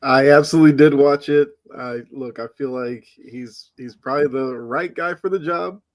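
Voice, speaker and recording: A man on a casual sports podcast panel who explains his points at length in a measured way. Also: medium pitch.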